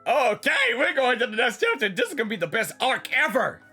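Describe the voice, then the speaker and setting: A man giving casual gaming reactions in an excitable, repetitive, rambling manner. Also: extremely high pitch, a little fast, faint music behind. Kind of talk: casual live game reaction commentary.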